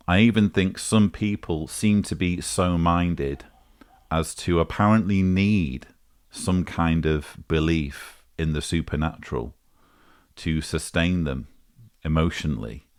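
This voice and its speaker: A man with a low-pitched voice hosts a vlog. He speaks very slowly and deliberately. His phrasing is formal and articulate, in the style of measured commentary. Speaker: vlog host.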